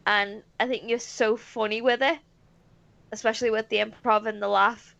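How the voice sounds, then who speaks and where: Lo-fi recording, woman in her twenties, fairly high voice, giggly, teasing, casual chat. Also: fairly even intonation, moderate pace, gravelly, fairly bright tone. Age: 20s. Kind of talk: casual group chat with friends.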